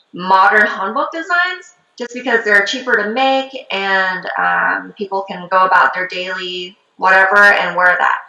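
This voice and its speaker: Bright-voiced woman, native Korean speaker. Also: mid-range voice. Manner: relaxed, many fillers like um and like. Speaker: traditional shaman. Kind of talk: casual interview chat. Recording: roomy, slightly dull sound.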